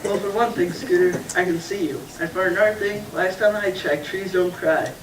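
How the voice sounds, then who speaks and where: A kind, patient puppet friend who speaks gently and coaxingly, as a puppeteer puts him on. The sound comes from far off in a room full of echo, with faint noise behind it. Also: medium pitch, fairly even intonation, normal speed, natural voice. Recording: echoey room picked up from afar, faint noise.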